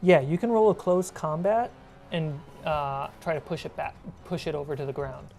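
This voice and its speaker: A woman's voice: deep voice, normal speed, dark tone. A woman acting as game master, narrating a tabletop role-playing game in a casual, conversational way. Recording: music behind the voice. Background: music.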